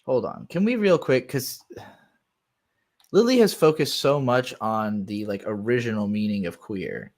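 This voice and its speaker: A man's voice: medium pitch, expressive, a little slow, darkish tone. Male streamer, mid-range voice, loose conversational reaction commentary, rambles and swears freely. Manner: conversational, rambling, sweary, acts out imagined replies. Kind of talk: casual unscripted reaction commentary.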